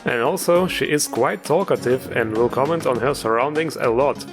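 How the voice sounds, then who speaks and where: A man's voice: medium pitch, moderate pace. A man narrating a jokey, scripted gaming review as host, playful and tongue-in-cheek. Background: music.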